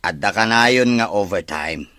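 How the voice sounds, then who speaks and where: A man's voice: lowish voice, animated, measured pace, bright, forceful tone, clear, resonant tone, dry recording. A man with an Ilocano Filipino accent playing a coaxing, evasive cheating husband in a radio drama.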